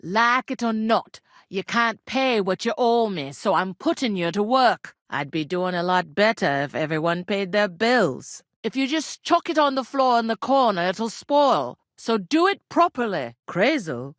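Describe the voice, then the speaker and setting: An actress playing a plain-spoken, bossy, world-weary village innkeeper in a medieval video game, with a rustic working-class English regional accent and a blunt, wry manner. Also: female voice, mid-range voice, very animated, measured pace, hardly any pauses, dry recording, clean studio sound. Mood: stern, bossy, then wry, gossipy. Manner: blunt, earthy, colloquial, wry. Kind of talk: video game character dialogue.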